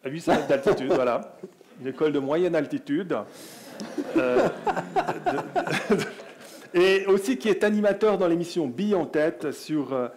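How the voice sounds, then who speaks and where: A man's voice: a little high, expressive, measured pace, darkish tone. A man with a Swiss French accent moderating a panel, introducing guests jovially with many hesitations. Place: conference hall.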